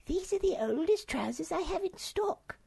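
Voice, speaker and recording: Woman playing a high-voiced kindly old shopkeeper. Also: animated, normal speed, creaky, exaggerated voice. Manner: warm, fussing over children.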